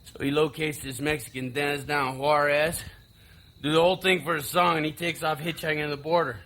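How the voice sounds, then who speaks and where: Actor as a high, mocking younger brother onstage. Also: male voice, fairly even intonation, fairly quick, a bit bright, lightly acted. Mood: bitter storytelling. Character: taunting, bitter younger brother, screenwriter gone mocking. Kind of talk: live stage play acting.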